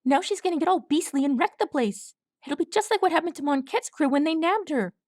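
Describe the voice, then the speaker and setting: A woman playing desperate young colt, high-pitched, breathless, pleading, dry recording. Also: expressive, brisk, a little mellow, dry recording. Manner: breathless, urgent, voice cracking.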